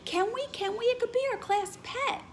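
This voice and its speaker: A woman voicing an eager, naive little schoolgirl in a children's storybook, excitable and insistent. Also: very high voice, moderate pace, a little hiss or hum, voice pushed up. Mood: eager, pleading. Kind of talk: children's storybook read-aloud.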